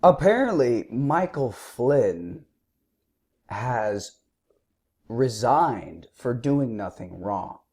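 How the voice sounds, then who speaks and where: A man with a sore throat giving combative, scornful political commentary, clearing his throat now and then. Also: mid-range voice, a little mellow. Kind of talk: opinionated commentary.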